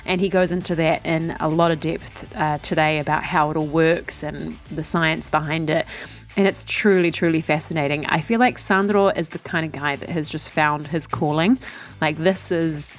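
A woman's voice: deep voice, fairly even intonation, moderate pace, faint music behind. A woman co-hosting a podcast, talking in an upbeat, enthusiastic way. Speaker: podcast co-host. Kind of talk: casual podcast chat.

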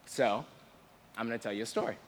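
A man's voice: medium pitch, brisk, a little hiss or hum. A man preaching a sermon in a conversational, informal and playful manner, sometimes reading scripture. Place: large reverberant room before a live audience. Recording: long hall reverb.

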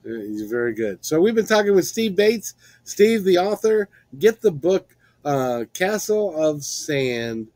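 A man's voice: high voice, very animated, unhurried, darkish tone, no room sound. A man hosting an interview show, talking casually and conversationally as he puts questions to his guests.

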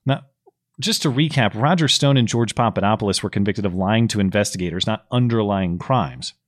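A slightly hoarse man co-hosting a podcast, recapping political news in a casual, explanatory way with plenty of fillers. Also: lowish voice, a little fast. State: slightly hoarse, losing his voice. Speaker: podcast co-host.

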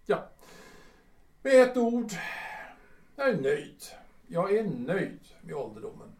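A man's voice: high-pitched, sing-song, vocal fry, darkish tone, exaggerated voice. A man acting a radio drama as a lonely, nostalgic retired official in his sixties, musing hesitantly in broken, repeated phrases. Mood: wistful musing. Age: 60s. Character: reclusive, nostalgic retired civil servant of 62, lonely but resigned. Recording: roomy echo, distant from the mic.

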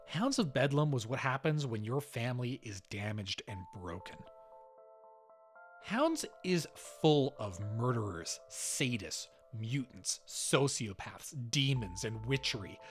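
A man hosting a podcast, reading scripted narration with expressive, dramatic emphasis. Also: medium pitch, measured pace, soft background music. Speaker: podcast host.

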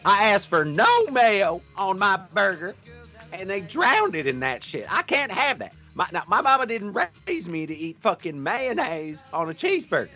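A man playing an irate, foul-mouthed loudmouth wrestling manager ranting in a comedic audio play. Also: high voice, very animated, normal speed, exaggerated voice. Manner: ranting, profane, indignant. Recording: muffled, music underneath.

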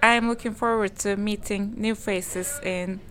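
A woman's voice: mid-range voice, fairly even intonation, a little slow, a bit bright, a little hiss or hum. A woman from Turkey, giving a brief, formal self-introduction. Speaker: interviewee, country manager.

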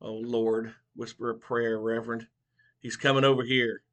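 A man's voice: mid-range voice, level tone, normal speed, a bit bright, close to an everyday voice. A man voicing a cranky eighty-one-year-old male barber who teases bluntly in a folksy way. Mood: nervous. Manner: blunt, teasing, folksy drawl.

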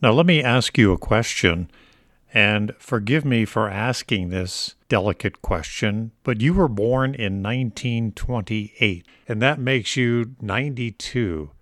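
A man's voice: fairly low pitch, measured pace, fairly bright tone, no echo, clean studio sound. A man conducting a conversational interview, asking questions politely and a little hesitantly.

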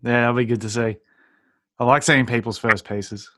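A man's voice: mid-range voice, steady tone, normal speed, bright, forceful tone, dry recording, studio quality. A man hosting a podcast, asking questions in a casual, conversational way. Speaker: podcast host. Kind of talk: casual interview chat.

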